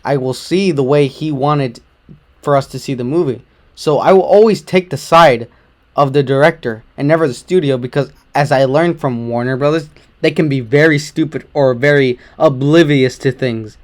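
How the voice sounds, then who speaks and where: A man with a slightly hoarse voice and occasional voice cracks, giving a chatty, rambling movie review at home. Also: mid-range voice, normal speed, a little mellow. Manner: chatty, rambling, enthusiastic opinions. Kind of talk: casual non-spoiler movie review.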